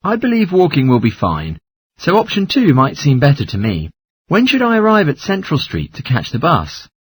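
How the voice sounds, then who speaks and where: A man's voice: fairly high pitch, huge pitch swings, moderate pace, breathy edge, dry recording, heavily acted. A man playing a polite caller asking for travel information in a scripted language-test dialogue. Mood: polite, inquiring.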